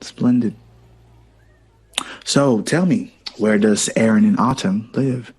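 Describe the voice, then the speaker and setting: Urbane, husky-voiced, playful jazz composer. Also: male voice, lowish voice, slow, darkish tone, full character voice. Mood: charming, flirtatious. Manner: refined, theatrical, savoring words. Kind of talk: audio drama acting.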